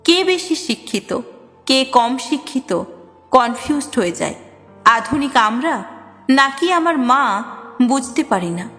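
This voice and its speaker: A high-pitched woman narrator reads a reflective personal story. Her voice rings with long reverb over background music. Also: a bit bright.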